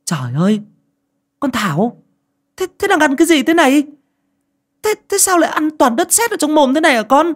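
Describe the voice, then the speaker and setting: A man voicing a frantic young mother who questions her child in a shrill, worried voice, in a storybook reading. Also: moderate pace, pauses often, lightly acted.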